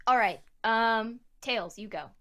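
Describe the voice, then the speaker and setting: Casual woman hosting, slightly high voice. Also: takes it slowly, fairly bright tone. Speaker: host reading questions to a cast. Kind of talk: casual chat.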